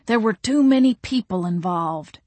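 Woman voicing a slow, genteel old gentleman ghost. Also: mid-range voice, expressive, no room sound, close to an everyday voice. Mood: gently teasing. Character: courtly, amiable elderly male ghost shopkeeper.

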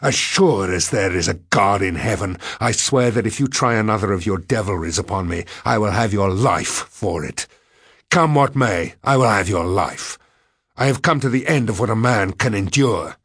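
Man acting a desperate, tormented professor, husky. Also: fairly low pitch, animated, normal speed, fairly bright tone, dry recording, studio quality, exaggerated voice. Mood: furious, threatening. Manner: impassioned, melodramatic.

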